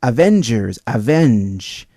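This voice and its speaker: A man's voice: medium pitch, big pitch swings, slow pace, mellow, no room sound, studio quality, full character voice. A man voicing a salty old sea captain bellowing defiant battle cries in an old-time radio adventure.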